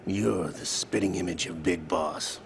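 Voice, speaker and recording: Deep, very gravelly sinister henchman. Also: a little slow, slight background noise. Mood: sly, scheming. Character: sly, sinister male henchman of a villain, theatrical and menacing. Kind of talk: dramatic video game cutscene acting.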